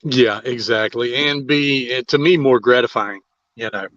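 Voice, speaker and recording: Bright, forceful male host, dry sound. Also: mid-range voice, dry recording, highs cut off. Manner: informal, halting, loosely phrased. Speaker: interview show host, musician. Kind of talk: casual interview chat.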